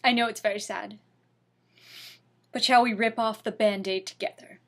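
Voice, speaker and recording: A woman's voice: mid-range voice, fairly even intonation, moderate pace. A woman giving a comedic dramatic reading, theatrical and mock-sorrowful, with wry asides. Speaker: reader.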